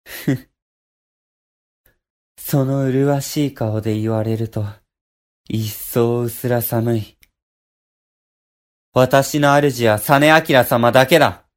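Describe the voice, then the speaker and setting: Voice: mid-range voice, fairly even intonation, drawn-out words, pauses often. An actor playing a proud, loyal young boy sorcerer in a period audio drama, cornered and muttering defiantly. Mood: scornful. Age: child figure.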